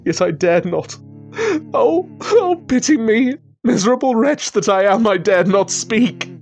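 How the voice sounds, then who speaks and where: An actor playing a terrified, guilt-ridden gentleman in a radio drama, speaking in feverish, theatrical, archaic phrases. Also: high-pitched, lively intonation, measured pace, gravelly, exaggerated voice. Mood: anguished. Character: terrified, guilt-ridden, nervously sick gentleman haunted by his sister. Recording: dramatic music behind the voice.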